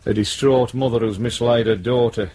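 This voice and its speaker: A man's voice: medium pitch, fairly even intonation, normal speed, dark tone, lightly acted. An actor playing a world-weary, sardonic English detective sergeant in his forties in a radio drama. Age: 40s.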